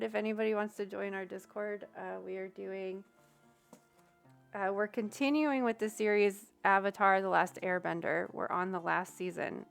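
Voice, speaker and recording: A woman's voice: mid-range voice, vocal fry, smooth. A young woman chatting casually on a livestream, giving readings and thinking aloud with many fillers. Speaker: streamer giving tarot readings. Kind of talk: casual livestream chat with viewers.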